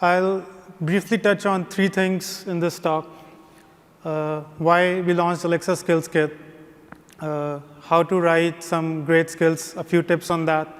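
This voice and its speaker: Male conference presenter, monotone, a touch high, echoing in a large hall. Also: slow pace. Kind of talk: conference talk. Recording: long reverb of a big hall.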